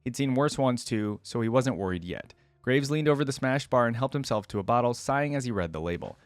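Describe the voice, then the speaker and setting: A man's voice: mid-range voice, expressive, brisk, dry recording. A man hosting a reaction video, reading game lore aloud and breaking off for casual comments.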